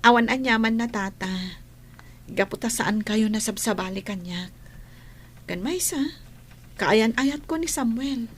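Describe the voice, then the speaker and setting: A woman's voice: a little high, unhurried, long pauses. A woman with a Filipino accent playing a caring, devoted young teacher in an audio drama. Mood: gentle, caring.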